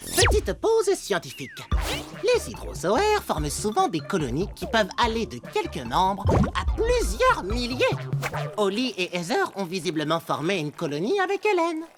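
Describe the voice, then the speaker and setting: A woman's voice: mid-range voice, normal speed. A woman voicing a brainy young science-loving pond creature in a cartoon, explaining things in a didactic way. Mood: explanatory. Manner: didactic, animated. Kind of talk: animated cartoon dub. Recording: music under the voice.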